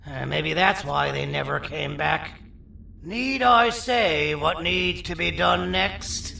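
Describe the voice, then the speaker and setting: Really croaky high-voiced monster surgeon for a video game, bossy and sardonic, kinda theatrical. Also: male voice, slow, sharp tone, exaggerated voice. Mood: irritated, commanding. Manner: wry, theatrical, self-important. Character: four-armed creature surgeon, vain, sardonic, bossy schemer. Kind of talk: video game character dialogue. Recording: roomy echo, distant, faint noise.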